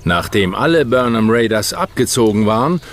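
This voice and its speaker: A man's voice: lowish voice, animated, normal speed, slight background noise. A man narrating a children's audio drama from a script in a storytelling style.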